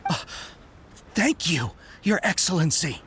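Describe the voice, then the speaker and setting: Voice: medium pitch, very animated, slow pace, fairly bright tone, slight background noise. An actor playing an earnest, devoted soldier in a video game, speaking deferentially and intensely to a commander. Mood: surprised. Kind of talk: scripted video game voice acting.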